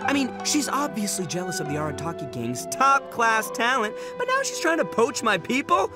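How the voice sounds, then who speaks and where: A man's voice: extremely high pitch, sing-song, normal speed. A man playing a brash, goofy young gang boss in a video game, slangy, blustering and theatrical. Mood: indignant. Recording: music behind the voice.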